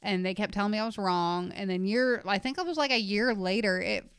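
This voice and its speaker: Chatty woman in her thirties, smooth voice. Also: medium pitch, a little fast, a bit bright, dry recording, studio quality. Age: 30s. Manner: chatty, rambling storyteller. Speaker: podcast co-host. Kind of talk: casual chat.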